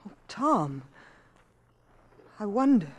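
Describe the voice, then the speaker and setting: A woman playing a concerned, sensible American mother in a 1950s radio drama, expressive and polite but firm. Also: medium pitch, very slow, very noisy. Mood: uneasy. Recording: vintage 1950s radio broadcast.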